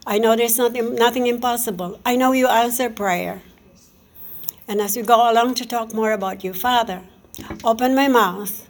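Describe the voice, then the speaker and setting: A woman with a soft voice giving a sermon and leading a spoken prayer, earnest and halting, often repeating "oh Lord". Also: fairly high pitch, steady tone. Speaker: preacher.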